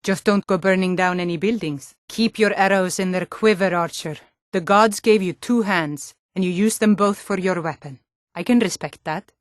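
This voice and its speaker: A woman playing a tough, suspicious female Nord city guard in a fantasy video game, with a blunt, gruff, challenging and wry manner. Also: fairly low pitch, moderate pace, no room sound, studio quality, lightly acted. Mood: teasing, gruff.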